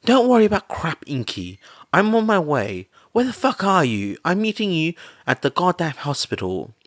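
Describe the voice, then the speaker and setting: Man voicing a brash, high-pitched, foul-mouthed woman. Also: huge pitch swings, moderate pace, no room sound, studio quality. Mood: urgent, bossy. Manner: swearing, urgent, blunt. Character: brash foul-mouthed woman, loud, protective, roused from sleep.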